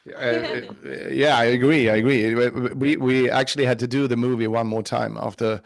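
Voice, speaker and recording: A man with a Swedish accent, a native Swedish speaker, answering interview questions in halting English. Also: mid-range voice, little pitch movement, gravelly, dry recording. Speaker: actor interviewee.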